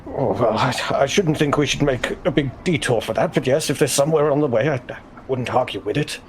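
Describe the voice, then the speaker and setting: A man's voice: medium pitch, a little fast, slight background noise. A man playing a tabletop role-playing game, chatting casually in a hesitant, polite way.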